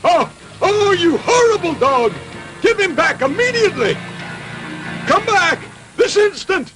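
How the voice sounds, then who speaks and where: A man's voice: very high-pitched, big pitch swings, unhurried, music in the background, heavily acted, rough recording. A man voicing a monstrous, gluttonous green fisherman in a dubbed cartoon, drawling theatrically with false courtesy. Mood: outraged.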